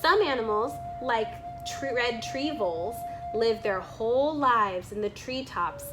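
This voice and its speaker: A woman with a slightly high voice narrates a nonfiction nature book. She reads very slowly and deliberately, phrase by phrase, as a read-aloud. Music plays clearly behind her. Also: lively intonation. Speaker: reader reading a children's nonfiction book aloud.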